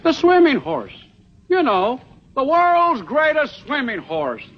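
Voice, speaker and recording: A man's voice: very high voice, huge pitch swings, slow pace, full character voice. A middle-aged man playing a friendly, folksy frontier townsman in a TV western. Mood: affable. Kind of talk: 1960s TV western acting. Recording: dull vintage TV soundtrack, faint noise.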